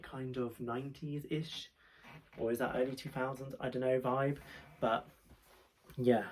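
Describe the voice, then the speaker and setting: A man's voice: mid-range voice, darkish tone, rough recording. Chatty young Englishman with a cold. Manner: chatty, rambling, many fillers and restarts. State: nasal from a head cold. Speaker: fashion vlogger. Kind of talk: casual try-on haul vlog. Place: small bedroom, indoors. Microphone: camera mic, moving toward and away.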